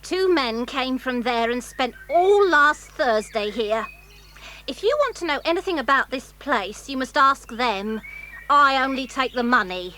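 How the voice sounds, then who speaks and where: A woman's voice: sits high, lively intonation, measured pace, pushed and bright, exaggerated voice. An actress playing a curt, officious, funny old woman guarding a castle gate in a children's audio drama. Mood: curt, dismissive. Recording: clear background noise with animal sounds.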